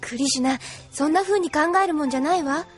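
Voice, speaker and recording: Woman acting plucky young woman, high girlish voice, gentle, sincere, anime audio drama, faint music. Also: fast talker, close to an everyday voice. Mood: concerned.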